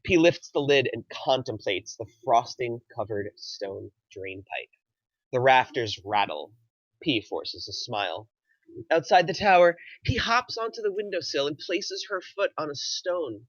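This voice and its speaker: A man's voice: mid-range voice, big pitch swings, a little slow, dry recording, muffled recording. A man narrating, reading screenplay action lines aloud at a table read.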